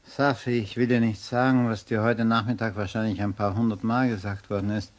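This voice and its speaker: An actor playing a frank estate agent and old family friend in a German crime radio play, speaking in a familiar, direct way. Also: male voice, on the low side, level tone, a little fast, lightly acted. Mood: sincere sympathy.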